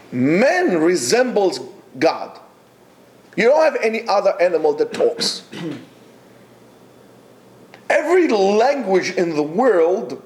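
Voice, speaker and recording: A male yeshiva teacher lectures his students in an exhorting, emphatic way, stopping often between phrases. His voice sits fairly high and swings widely in pitch. He takes his time and speaks slowly. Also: a little mellow. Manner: emphatic, exhorting, with many pauses.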